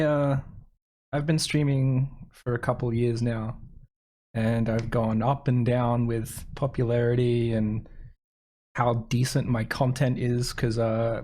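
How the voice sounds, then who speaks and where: A man talks in a soft voice at an ordinary male pitch. He hosts a gaming podcast and keeps the delivery casual and conversational. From Australia. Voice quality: soft-spoken. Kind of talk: casual chat.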